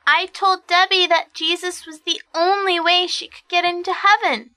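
Role: innocent, fearless little girl, extremely high and bright. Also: steady tone, normal speed. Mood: innocent, matter-of-fact.

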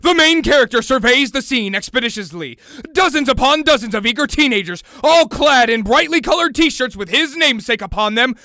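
A man's voice: extremely high pitch, animated, a little fast, sharp tone, dry recording, studio quality, exaggerated voice. A man playing a pompous, melodramatic narrator of a comic detective radio serial. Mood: grandiose.

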